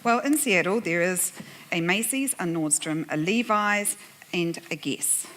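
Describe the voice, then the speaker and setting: A woman's voice: fairly low pitch, measured pace, a bit bright, a little hiss or hum. A woman with a New Zealand accent, moderating a conference panel and telling a humorous, self-deprecating story to the audience with frequent laughs. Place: conference plenary room.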